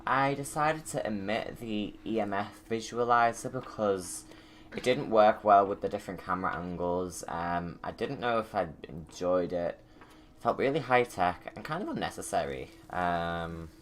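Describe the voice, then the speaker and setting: A woman's voice: very deep voice, animated, normal speed, a little hiss or hum. A woman giving informal, rambling commentary on her own game movie. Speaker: video creator, commentator. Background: game audio and ambience.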